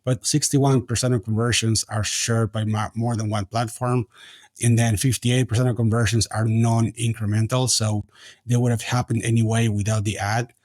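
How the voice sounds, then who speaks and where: A man's voice: mid-range voice, level tone, moderate pace, darkish tone, dry recording. A man in his forties from Argentina, answering interview questions in a hesitant way with many fillers and restarts. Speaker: podcast guest, company founder.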